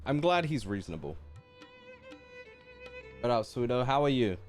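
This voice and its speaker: A man's voice: medium pitch, very animated, moderate pace. A man giving casual, slangy live stream reactions to a video game and chatting to viewers. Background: game music.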